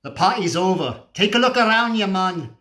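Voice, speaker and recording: A man's voice: sits high, animated, normal speed, sharp tone. A man performing a dramatic monologue as a cynical, feverish, scornful apocalyptic drifter, ranting emphatically and preachily with swearing and rhetorical questions.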